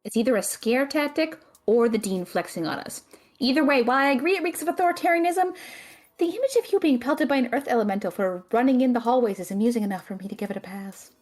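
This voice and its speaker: A woman playing a stern, stressed young female magic student in an audio drama, with precise, deadpan, teasing delivery. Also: on the high side, fast talker, faint music behind, lightly acted. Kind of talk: audio drama acting, dry banter.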